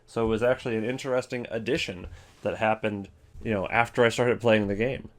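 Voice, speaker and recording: A man's voice: lowish voice, fairly even intonation, brisk, a little hiss or hum. A man hosting a video with casual, rambling, offhand gaming commentary. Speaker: video host.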